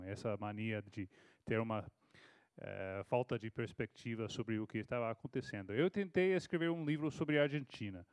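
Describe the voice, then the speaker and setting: A man's voice: mid-range voice, clear, resonant tone, no room sound. A man in his thirties from Texas, a native English speaker with an American accent, telling a story on a university panel in a hesitant way, with many restarts. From Texas, United States. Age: 30s. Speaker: panel speaker, foreign correspondent. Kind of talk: panel talk answering a question.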